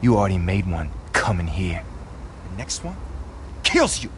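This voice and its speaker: A man's voice: deep voice, very animated, normal speed, a little hiss or hum. An actor playing a cold, threatening male street thug in a video game.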